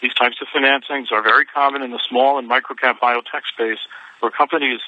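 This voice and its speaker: A man reading a formal, measured prepared statement as a company chief executive on a conference call. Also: mid-range voice, steady tone, bright, forceful tone, a little hiss or hum. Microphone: telephone. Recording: dull telephone conference line.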